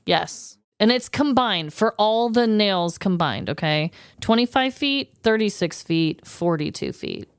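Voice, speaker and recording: A woman's voice: mid-range voice, lively intonation, a little slow, few pauses, smooth, no echo, studio quality. An American woman co-hosting a podcast, chatting casually and informally as she shares trivia. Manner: chatty, informal, quizzing her co-host. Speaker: podcast co-host.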